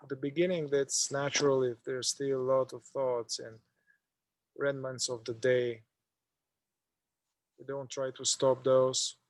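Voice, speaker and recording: A man leading a guided meditation, speaking in an unhurried, halting way with long pauses. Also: mid-range voice, flat delivery, no echo.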